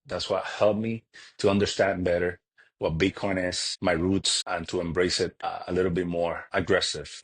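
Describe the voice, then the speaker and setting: A man's voice: deep voice, breathy edge. A man from Cuba, a podcast guest, talking earnestly in a casual interview, often restarting his sentences. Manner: earnest, halting with frequent restarts.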